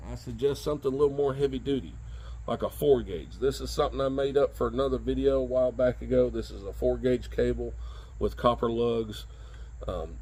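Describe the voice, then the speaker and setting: A man's voice: medium pitch, warm tone. Plain-spoken man over background noise. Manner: halting, plain-spoken, checks with "okay". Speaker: how-to video presenter. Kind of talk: casual hands-on tutorial. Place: truck bed by a cluttered garage or workshop.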